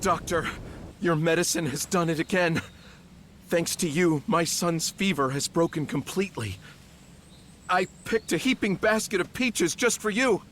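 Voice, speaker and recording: A man's voice: fairly high pitch, measured pace, a bit bright, slight background noise. An actor playing a humble, grateful village father in a video game, speaking deferentially and effusively. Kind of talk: dramatic video game acting.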